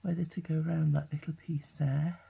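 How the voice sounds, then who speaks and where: A woman, hoarse with a cold and gruff-voiced, hosting a casual crafting video and talking hesitantly with many ums while she works. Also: low voice, flat delivery, brisk, mellow. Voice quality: gruff, gravelly. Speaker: craft channel host.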